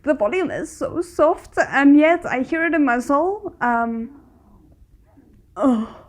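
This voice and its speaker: A woman hosts a reaction video in a higher-than-average voice. She talks casually as commentary, with a squeamish, dryly sarcastic manner. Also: expressive, takes it slowly. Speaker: host of a reaction video channel.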